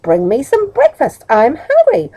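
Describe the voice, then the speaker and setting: A woman's voice: fairly high pitch, wildly swooping pitch, measured pace, warm tone, slightly rough, lo-fi sound, voice pushed up, exaggerated voice. A woman voicing a silly, fussy little man who is easily cross and demanding.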